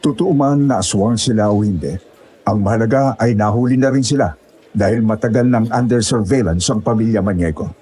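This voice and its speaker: A man's voice: on the low side, brisk, dark tone, slightly rough. A man playing a courteous, official police officer in an audio horror drama. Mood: serious, grave. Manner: courteous, formal. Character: polite, official male police officer taking a report.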